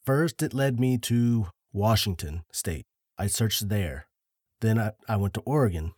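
A man co-hosting a podcast, telling a story in a laid-back, deliberate way with dry jokes, a little short of breath. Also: fairly low pitch, expressive, darkish tone, slightly rough, dry recording. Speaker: podcast co-host.

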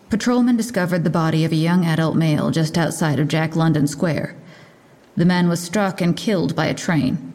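An actress playing a brisk, sardonic police lieutenant giving a guarded press briefing in an audio drama. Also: female voice, fairly low pitch, warm tone, close to an everyday voice.

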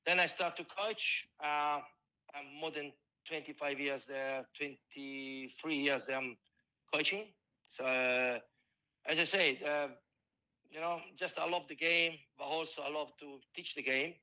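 A man in his forties with a strong Italian accent, a football coach speaking in an interview, halting and restarting as he searches for words in English. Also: on the high side, steady tone, pushed and bright, clean tone, poor audio. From Italy. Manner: halting, many restarts and fillers. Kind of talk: casual interview answers.

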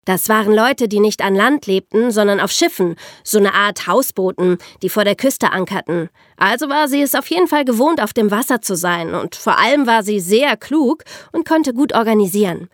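A woman's voice: medium pitch, animated, moderate pace, few pauses, dry recording, clean studio sound. A woman co-hosting a podcast, telling history in an explanatory way for young listeners. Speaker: podcast co-host and narrator.

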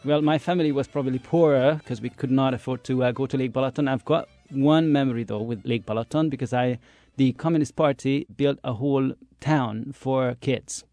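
A Hungarian-accented man talks as a radio guest, telling stories in a chatty way full of small hesitations. His voice is warm and mellow. The recording is dry and close. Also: mid-range voice, fairly even intonation, a little fast, dry recording, faint music behind. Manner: chatty storyteller with many uh fillers. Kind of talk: conversational radio interview.

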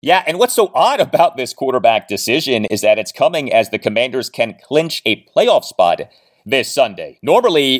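Emphatic male sports podcast host. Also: medium pitch. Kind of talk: sports commentary, presenting and analysing interview clips.

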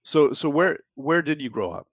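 Gravelly low-voiced male host, dull dry sound. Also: very animated, normal speed, no room sound. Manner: hesitant, many fillers and restarts. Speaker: interview host. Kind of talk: casual interview.

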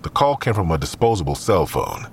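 A man's voice: rumbling low, wildly swooping pitch, fairly quick, creaky, a little hiss or hum. A man reading scripted third-person narration for an audio drama.

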